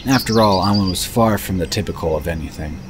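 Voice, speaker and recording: Deep-voiced male fantasy narrator. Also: fast. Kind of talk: scripted third-person fantasy narration. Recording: background noise of birds and crickets.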